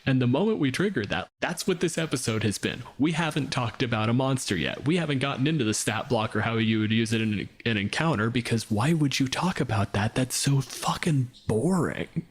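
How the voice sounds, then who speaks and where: A man's voice: mid-range voice, animated, fairly quick, slightly rough. Casual, sweary man podcasting about gaming. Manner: sweary, analytical, informal. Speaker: podcast host. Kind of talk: casual podcast discussion of tabletop games.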